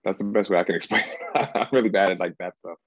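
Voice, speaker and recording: A man from Cameroon in his thirties, a guest in an interview, talking things through slowly and hesitantly, full of "like" and restarts. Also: low-pitched, husky, dry recording, lo-fi sound. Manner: searching, hesitant, repeats himself and says "like" often. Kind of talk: reflective conversational interview.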